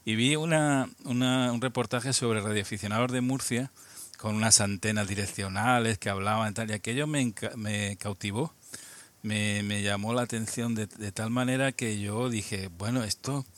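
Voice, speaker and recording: A man in his sixties from Albacete with a Spain Spanish accent, a guest looking back on his past, speaking haltingly with frequent restarts. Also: on the low side, a bit bright. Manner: halting, many restarts and repeated words, reflective. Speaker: guest, amateur radio operator. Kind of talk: casual interview, reminiscing.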